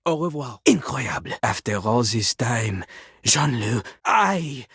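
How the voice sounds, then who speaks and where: A man's voice: mid-range voice, big pitch swings, slow, fairly bright tone, husky, dry recording, studio quality, heavily acted. A man voicing a boastful, theatrical rapping toilet from a video game, with a French accent and a grandiose way of talking. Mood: impressed, tender. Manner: pompous, grandiose, refers to himself in third person. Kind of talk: animated video game character acting.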